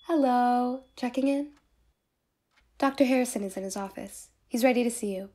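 There is faint noise behind a woman acting a polite clinic receptionist in an audio roleplay. Her voice is fairly high and somewhat mellow. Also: moderate pace, natural voice.

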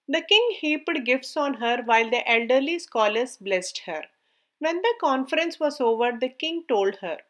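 High-pitched woman reading a story aloud. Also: a bit bright. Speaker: story narrator. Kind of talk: scripted storybook narration.

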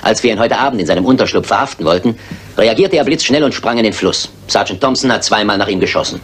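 Man playing a shrewd, lively-voiced radio detective. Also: on the low side, close to an everyday voice. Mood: grave, certain. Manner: precise, deliberate, authoritative. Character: shrewd, composed male detective, coolly certain. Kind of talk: German radio crime drama acting. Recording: older radio play with background noise.

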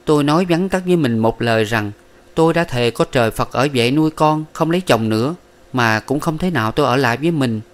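A man's voice: medium pitch, fast, voice pushed up, lightly acted. A man voicing a wronged young wife in a storybook, restrained and solemn. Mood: resolute.

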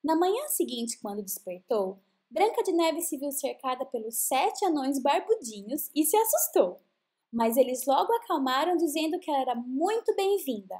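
Woman, Brazilian accent, high pitch, very lively intonation, children's storytelling, drawn-out emphasis. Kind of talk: scripted fairy-tale narration for children.

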